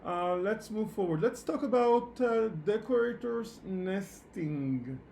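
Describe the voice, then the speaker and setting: A man with a fairly high voice teaches programming live online, speaking English as a non-native language. His delivery is slow and halting, with words often repeated. Faint noise sits behind his voice. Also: expressive, creaky, a little mellow. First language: not English. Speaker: programming instructor. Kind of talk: live online technical lecture. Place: online meetup session at a computer.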